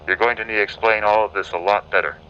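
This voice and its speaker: An actor playing an earnest, bewildered wartime radio correspondent in a radio drama. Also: male voice, lowish voice, fairly even intonation, fast, pushed and bright. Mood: confused, upset. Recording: dull, noisy audio.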